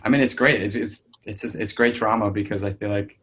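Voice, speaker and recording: Man, lowish voice, casual chat, rambling, informal, heavy on filler words. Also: steady tone, fairly quick, a bit bright. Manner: rambling, peppered with "like" and "you know".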